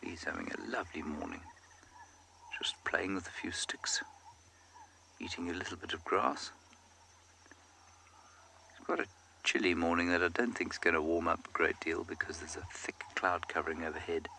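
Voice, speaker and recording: A man guiding a live game drive, calmly explaining the animals to viewers from outdoors in the bush. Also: low-pitched, moderate pace, gravelly, long pauses, a little hiss or hum. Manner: calm, explanatory, speaks directly to viewers. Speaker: safari guide.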